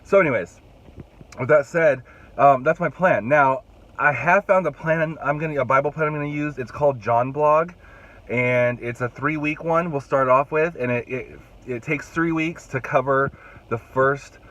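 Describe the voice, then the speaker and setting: A man's voice: medium pitch, fairly bright tone, dull audio. A young adult man, a youth pastor, chatting casually to his phone camera while fighting a cold, rambling with restarts. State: congested, fighting a cold, voice rough. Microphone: phone held at arm's length.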